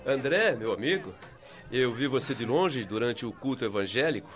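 An actor playing a courteous, modest male guide speaking softly in a radio drama. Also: mid-range voice, lively intonation, normal speed, muffled recording, natural voice. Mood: gentle. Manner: soft-spoken, courteous, humble.

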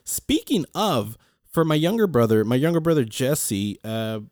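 A man with a deep voice speaks to his podcast listeners in an earnest, motivational way. He rambles, repeats words and restarts his sentences as he thinks aloud. His pitch sits in an ordinary middle range, and the dry recording has little room sound. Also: sing-song, a little slow, a little mellow, dry recording. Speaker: podcast host. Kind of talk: casual reflective monologue.

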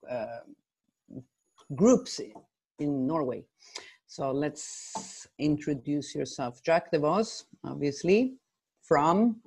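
Lower-voiced woman hosting a chat. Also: darkish tone. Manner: friendly, leading questions with fillers. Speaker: host, freediving training director. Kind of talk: casual interview.

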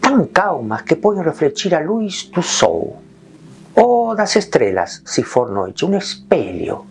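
A man leading a guided meditation in calm, gentle short phrases with long pauses. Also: a little high, slight background noise.

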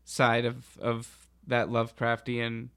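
A man chatting casually as a podcast host, with a halting, slangy delivery. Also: mid-range voice, monotone, a bit bright, clean tone. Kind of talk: casual chat about a TV show.

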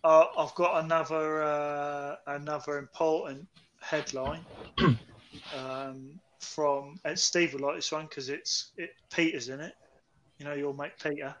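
A man's voice: a little high, flat delivery, a little slow, a bit bright, a little hiss or hum, rough recording. A British man co-hosting a podcast in casual comic banter, joking in a crude, irreverent way. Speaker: podcast co-host.

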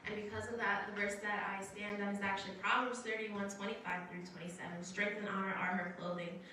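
A woman's voice: mid-range voice, little pitch movement, fairly quick. Young woman reading scripture, echoey. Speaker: program resident giving testimony. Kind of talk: church testimony with scripture reading. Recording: distant mic, heavy room echo, background noise.

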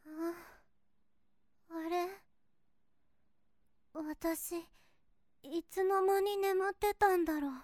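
Very high-pitched, innocent, polite girl. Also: fairly even intonation, drawn-out words, long pauses, darkish tone, dry recording, studio quality, full character voice. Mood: groggy, confused. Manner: earnest, deferential, hesitant speech. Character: sweet, polite, innocent and easily flustered young girl. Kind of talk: Japanese voice drama acting.

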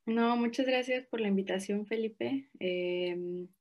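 Mexican woman answering relaxed and reflective. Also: a little high, takes it slowly. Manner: relaxed, reflective, frequent fillers like "o sea" and "como". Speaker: interview guest. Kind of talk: casual interview answers.

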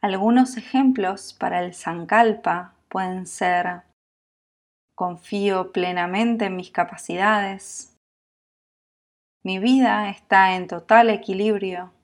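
A woman with a Latin American Spanish accent guiding a sleep meditation in a calm, soothing, unhurried manner, leaving long pauses. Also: mid-range voice. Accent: Latin American Spanish with voseo. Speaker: yoga nidra meditation guide.

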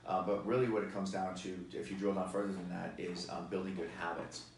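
A man in his forties speaks with a very deep voice, talking fitness in a loose podcast chat. He is emphatic and energetic, leaning on "you know" and "right?". The mic is distant in an echoey room, with faint noise. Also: brisk. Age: 40s. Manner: energetic, emphatic, many fillers. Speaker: fitness guest expert.

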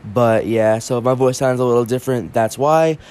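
A man's voice: medium pitch, a little fast, a little mellow, smooth. Congested man giving sports hot takes. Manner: opinionated and emphatic. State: congested from allergies. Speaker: podcast host. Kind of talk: sports opinion monologue.

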